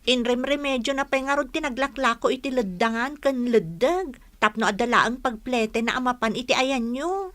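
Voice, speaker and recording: A curious young Ilocano woman, chatting as a loyal, gossipy friend in a radio drama. Her voice is high and bright. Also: moderate pace. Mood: confiding.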